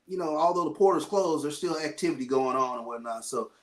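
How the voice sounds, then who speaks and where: A man with a cold giving casual football and basketball updates, measured and full of fillers. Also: fairly high pitch, rough recording. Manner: measured, thinking aloud with fillers. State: has a cold. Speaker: sports reporter. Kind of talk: casual sports report.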